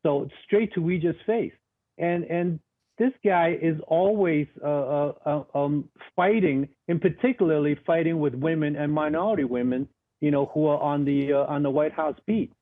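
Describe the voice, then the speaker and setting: A man's voice: a little high, normal speed. A man interviewed as a guest over a remote line, answering emphatically and bluntly, often repeating words as he starts a sentence. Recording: tinny phone-like remote line.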